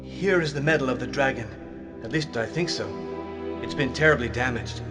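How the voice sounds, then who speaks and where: A man's voice: mid-range voice, brisk, close to an everyday voice. A man voicing a wary young hero in a game cutscene, questioning and skeptical. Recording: game music behind.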